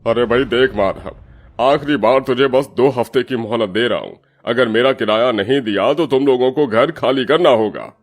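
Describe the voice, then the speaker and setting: A man's voice: mid-range voice, normal speed, natural voice. A man voicing a stern, blunt landlord in a cartoon story.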